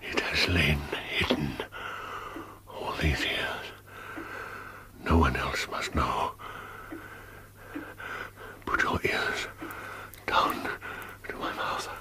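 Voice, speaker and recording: Very deep-voiced dying old man. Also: steady tone, in a whisper, full character voice. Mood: weak, urgent. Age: older. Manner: slow, halting, laboured delivery. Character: dying old father, guilty, greedy and fearful. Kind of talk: radio drama acting.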